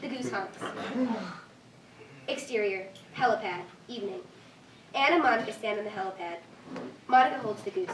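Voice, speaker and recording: A woman's voice: medium pitch, normal speed, pauses often. A woman reading stage directions as scripted narration at a live staged reading. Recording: echoey room, distant mic, faint noise.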